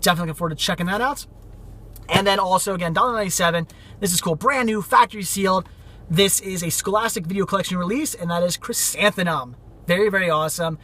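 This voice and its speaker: High, bright-voiced chatty man. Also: quick pace. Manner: rambling, enthusiastic, repeats "very cool". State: losing his voice from a cold. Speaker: vlogger showing thrift finds. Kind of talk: casual vlog chat.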